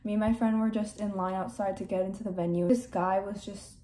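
A woman's voice: mid-range voice, steady tone, brisk, dark tone, slight background noise. A seventeen-year-old girl from Canada talks to her camera in a casual vlog. She sounds upbeat and chatty, with plenty of "like" and "literally". She is recorded at home in her bedroom, with the phone held at arm's length. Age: 17, teenager. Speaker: vlogger.

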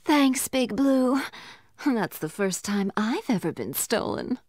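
Sultry teasing lady thief, unhurried, close dry sound, video game cutscene. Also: fairly high pitch, lively intonation, darkish tone, no room sound, faint music behind. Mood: sly, flirtatious.

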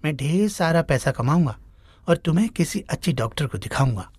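A woman voicing a devoted, gentle young village son in an animated moral story. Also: deep voice, brisk, slightly rough, dry recording. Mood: reassuring. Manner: earnest, gentle.

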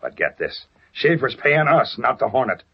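An American actor playing a tough, bossy gang leader in a gruff, slangy manner in an old radio drama. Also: male voice, mid-range voice, big pitch swings, normal speed, full character voice. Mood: menacing, bossy. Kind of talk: 1930s-40s American radio drama acting. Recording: muffled vintage radio recording, faint music.

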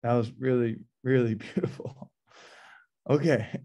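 A man in his thirties from New Orleans, hosting a reading informally and haltingly over a video call. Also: mid-range voice, fairly even intonation, warm tone. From New Orleans, USA. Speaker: host and curator of poetry reading. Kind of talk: casual event hosting. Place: small office with cinderblock walls, indoors. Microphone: video call laptop microphone.

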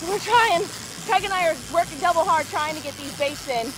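A woman in her thirties from Florida giving excited fishing commentary to the camera from an open boat at sea, bubbly and exclamatory. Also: extremely high pitch, brisk, noisy background. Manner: bubbly, exclamatory, talks to viewers as guys. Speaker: fishing vlogger. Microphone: action camera held close.

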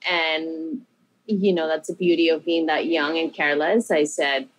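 Low-voiced chef from Peru, rambling. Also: female voice, fairly even intonation, a little slow, rough recording. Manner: rambling, self-correcting, upbeat. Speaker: chef, guest. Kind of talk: casual interview storytelling.

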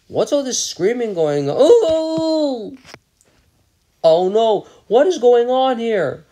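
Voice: sits high, dark tone, full character voice. A performer voicing frightened cartoon characters who shriek and ask frantically what is going on. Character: panicked trapped cartoon townsfolk. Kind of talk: homemade cartoon voice acting.

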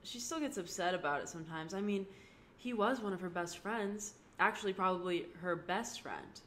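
A bubbly teenage girl who teases her friends and gets excited easily, as a woman reads her. She sounds playful and quick to tease. The voice sits a little lifted above a fairly low natural register. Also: a little hiss or hum, lightly acted. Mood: teasing.

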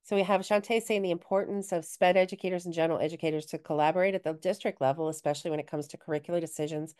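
A woman co-hosts a webinar on a video call, with a deep, smooth voice. She reads chat comments aloud and builds on them in an encouraging way. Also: steady tone, very fast, darkish tone. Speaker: webinar co-host. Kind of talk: conversational webinar discussion.

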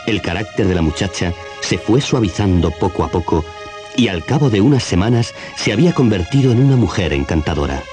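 Male children's story narrator, Castilian accent, deep register, vintage cassette with music underneath. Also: expressive, brisk. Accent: Castilian Spanish. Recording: 1980s cassette, music behind.

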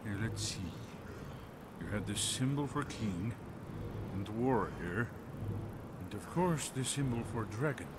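An actor playing a learned old man in a fantasy video game, musing in a measured, scholarly way. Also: on the low side, animated. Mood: absorbed, instructive. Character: learned old male scholar, absorbed in ancient relics. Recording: loud ambient noise, echoey cavernous reverb. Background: loud game ambience.

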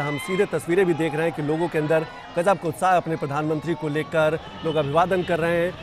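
A man narrating a live news broadcast of a roadshow, talking urgently and repeating himself with fillers. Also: a little high. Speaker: TV news reporter. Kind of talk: live news commentary over roadshow footage. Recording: loud crowd cheering and music behind. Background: crowd chanting and music.

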